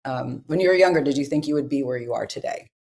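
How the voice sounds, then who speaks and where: A woman's voice: extremely low pitch, fast talker, a little mellow. A woman from Northern California hosting an interview, asking friendly, chatty questions. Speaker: podcast host.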